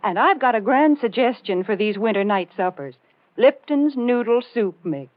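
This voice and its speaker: Folksy old radio commercial lady, fairly high voice, muffled dry recording. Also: expressive, moderate pace, no room sound. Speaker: commercial announcer. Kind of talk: 1940s radio ad read, folksy. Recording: muffled 1940s radio broadcast.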